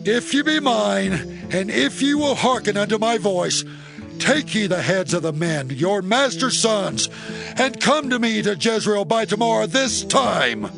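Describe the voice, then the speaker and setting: A man's voice: high-pitched, lively intonation, unhurried, creaky, music in the background, full character voice. An actor playing a fierce, commanding warrior king in a scripture audio drama, with imperious, declamatory delivery. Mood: stern, commanding.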